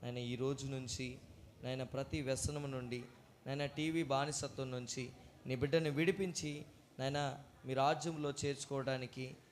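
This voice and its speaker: A man's voice: mid-range voice, fairly even intonation, normal speed. A man with a preacherly, instructive manner, presenting a children's puppet show and leading prayer. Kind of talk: exhorting and leading children at church. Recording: faint noise, live ambience.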